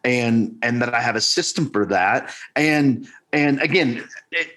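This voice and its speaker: A man's voice: medium pitch, unhurried, sharp tone. A man talking earnestly as a podcast guest in casual conversation, often restarting his sentences.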